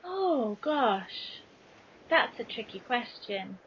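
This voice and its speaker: Female interview guest, English accent, raised pitch, candid and self-deprecating casual chat, lo-fi podcast sound. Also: sing-song, extremely slow, fairly bright tone, slightly rough. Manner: frank, chatty, self-deprecating. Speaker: guest author being interviewed. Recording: dull, lo-fi early podcast recording.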